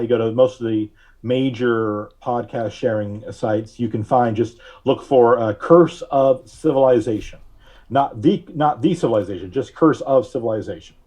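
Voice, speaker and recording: Mellow-voiced man answering interview questions. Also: mid-range voice. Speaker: podcast guest, writer and filmmaker.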